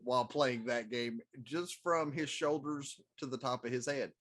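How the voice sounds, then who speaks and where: A middle-aged man with a voice of medium pitch. He speaks casually and conversationally, co-hosting a talk show. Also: unhurried, fairly bright tone. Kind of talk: casual chat.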